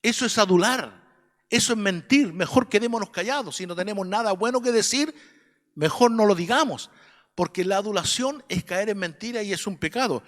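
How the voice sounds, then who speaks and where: A man's voice: high voice, fairly quick, fairly bright tone, big-room sound. A man with a Chilean accent teaching an adult Sunday school class, earnest and preachy, often checking that listeners agree. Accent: Chilean Spanish. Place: church classroom.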